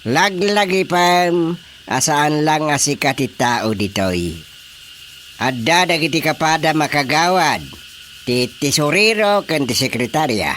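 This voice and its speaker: Older village boss, grumbling and bossy, slightly high voice, very lively swoops, radio comedy drama. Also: male voice, a little slow, a little hiss or hum. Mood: bossy, curt. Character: grumbling, weary older male village chairman.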